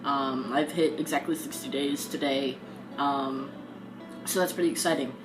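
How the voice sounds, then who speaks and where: A young man talking casually in a video diary, pausing often and filling gaps with "um". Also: mid-range voice, fairly even intonation, poor audio. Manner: halting, frequent ums. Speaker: vlogger. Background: guitar music.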